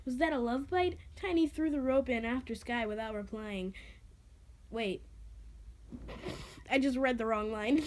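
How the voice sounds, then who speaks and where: A woman's voice: fairly high pitch, slight background noise. A woman reading her own story dramatically, stumbling over words and adding casual, self-deprecating asides. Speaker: amateur reader of own creative writing.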